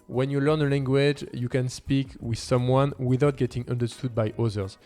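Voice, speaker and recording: A man's voice: mid-range voice, fairly even intonation, moderate pace, a little mellow, soft background music. A man from France, a native French speaker with a French accent, talking casually as host of a language-learning video in non-native English phrasing. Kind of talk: casual talk to camera.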